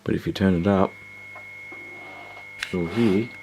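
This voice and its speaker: A man's voice: low voice, slow pace. A man talking casually through a hands-on repair video, hesitant and thinking aloud as he goes. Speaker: host of a radio repair video. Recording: clear background noise with electrical hum.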